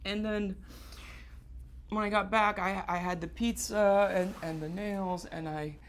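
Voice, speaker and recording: Halting, stammering, guilt-ridden man played by an actor. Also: sits high, fairly even intonation, a bit bright. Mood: hesitant, dread. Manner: halting, stammering, repeating himself. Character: Bobbie, distraught guilt-ridden man confessing to a woman. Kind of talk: live theatre monologue acting. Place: theater stage.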